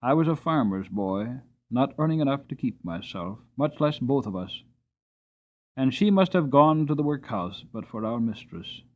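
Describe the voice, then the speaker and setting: Man reading as a good-hearted working coachman, earnest, lively pitch, tinny sound. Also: normal speed, natural voice. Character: kind, hardworking male coachman. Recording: tinny narrowband recording.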